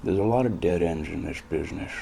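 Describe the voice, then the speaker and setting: An actor playing a world-weary, wisecracking hardboiled private eye in a noir radio drama, with a laconic, deadpan and brooding delivery. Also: male voice, fast talker, slight background noise. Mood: flirtatious, wry. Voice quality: very deep, gravelly. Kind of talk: noir radio drama with first-person narration.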